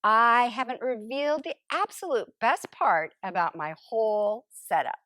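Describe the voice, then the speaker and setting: A woman voicing a smug, lazy couch potato in an expressive, theatrical storybook read-aloud. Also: on the high side, dry recording. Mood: smug, boastful. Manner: theatrical, exclamatory, drawn-out emphasis. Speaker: storybook narrator character. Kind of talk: expressive first-person picture book read-aloud.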